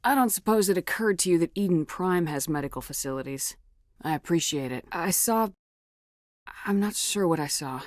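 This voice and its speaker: A woman acting as a tough female officer in a sci-fi military game. Her voice sits on the low side and is recorded very dry, with no room around it. She speaks with clipped, steady authority and no nonsense. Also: moderate pace, no room sound, close to an everyday voice. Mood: groggy, uncertain. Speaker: video game protagonist. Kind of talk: video game dialogue acting.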